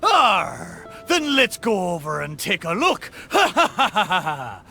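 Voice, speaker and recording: Animated, blustering boat. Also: male voice, high-pitched, vocal fry, faint music behind, exaggerated voice. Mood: musing, hearty. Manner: blustering, drawn-out exclamations, pirate talk. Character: talking pirate boat, hearty, excitable old seafarer. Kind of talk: theatrical video game character acting.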